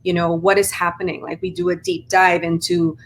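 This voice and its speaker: A middle-aged woman with a Trinidadian lilt explains things in a fairly low voice. She is earnest and steady and often tags her points with a quick "right?". A faint hiss sits under the recording. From Trinidad and Tobago. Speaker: mental performance coach, guest. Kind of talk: conversational interview.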